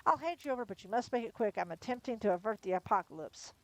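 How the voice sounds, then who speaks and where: A woman's voice: mid-range voice, animated, fast talker, no room sound, lightly acted. A woman reading aloud, voicing a prissy, condescending male spirit who speaks through a medium. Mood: testy, haughty. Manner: testy and condescending.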